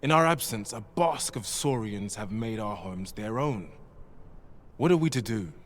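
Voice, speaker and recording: An actor playing a polite, earnest displaced villager in a fantasy video game, with courteous archaic phrasing. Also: male voice, on the low side, animated, measured pace. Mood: worried, pleading.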